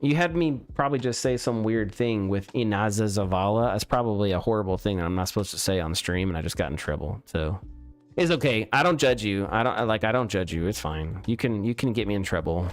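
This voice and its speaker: A man's voice: on the low side, expressive, fairly quick, soft background music. A man streaming while making a game, rambling and joking in casual chat, speaking into a close mic with a pop filter. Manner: rambling, joking, self-interrupting. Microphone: studio mic with pop filter.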